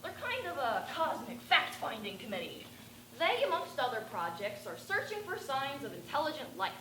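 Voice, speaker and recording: A teenage girl playing an eccentric, rambling bag lady who talks to aliens, with a raspy voice and a ranting, confiding stage-monologue delivery. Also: high-pitched, very animated, normal speed, fairly bright tone. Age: teens. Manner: ranting, confiding, addressing passers-by. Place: stage performance. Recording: echoey room with background noise.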